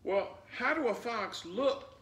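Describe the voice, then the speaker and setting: A man's voice: very high-pitched, animated, slow, a bit bright, pitched above the performer's own voice, exaggerated voice. A man voicing a spirited, skeptical little country girl, plain-spoken and unfazed, for a children's storybook. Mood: eager, curious. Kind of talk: children's storybook read-aloud. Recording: roomy echo, distant mic.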